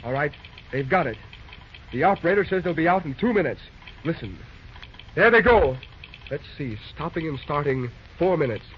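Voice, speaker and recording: An actor playing a brisk, determined young railroad dispatcher with an American accent, giving clipped, urgent lines in an old-time radio drama. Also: male voice, a little high, animated, natural voice. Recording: dull, noisy vintage radio recording.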